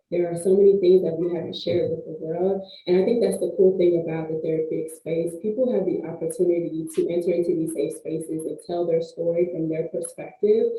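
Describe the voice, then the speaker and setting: An upbeat woman hosts a casual interview chat, leaning on emphatic phrases. Her voice is very dark and mellow and sits a little low. The room around her is echoey. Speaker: podcast host and licensed counselor.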